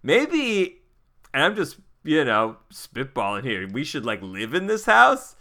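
Man playing a bright-voiced laid-back slacker vagabond. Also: medium pitch, huge pitch swings, a little slow, heavily acted. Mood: casual, musing. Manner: drawling slacker slang. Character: laid-back, sleepy young male vagabond amateur investigator.

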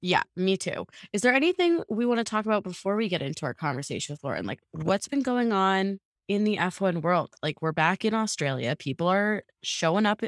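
A woman's voice: mid-range voice, very animated, brisk, few pauses, no echo, clean studio sound. A young woman co-hosting a casual chat podcast, upbeat and giggly with lots of fillers, fighting allergies. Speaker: podcast co-host.

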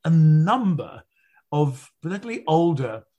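A man's voice: on the high side, a little slow, lo-fi sound. A man in his sixties, an audiobook narrator, telling rambling anecdotes in an interview with lots of restarts. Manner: rambling, restarts often, animated storytelling.

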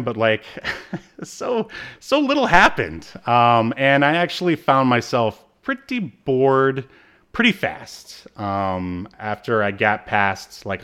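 A man hosting a casual pop-culture review chat, speaking in a halting, self-deprecating way. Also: medium pitch, a bit bright, clean tone. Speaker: podcast host.

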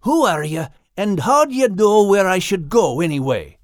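A man's voice: high voice, big pitch swings, moderate pace, no echo, studio quality, voice pushed up. A man voicing a plucky, wary little male dog with a Scottish accent for a storybook, blunt and questioning. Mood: wry.

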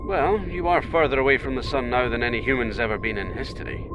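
Wary captain, hugely animated delivery. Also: male voice, mid-range voice, fast talker, background noise. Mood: watchful, dry. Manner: stern, exasperated, plain-spoken. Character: cautious, fretful male mission captain monitoring by radio. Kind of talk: sci-fi audio drama acting.

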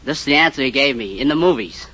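A man's voice: medium pitch, expressive, fast talker, very noisy. Hesitant man on muffled old radio. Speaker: quiz contestant, television repairman. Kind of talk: halting answers to a host. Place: radio studio before a live audience.

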